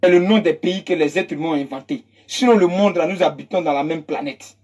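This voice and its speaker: Husky-voiced Ivorian political commentator. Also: mid-range voice, a little fast, a little mellow. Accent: Ivorian French. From Côte d'Ivoire. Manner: emphatic and mocking, repeats phrases for effect. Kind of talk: impassioned political monologue.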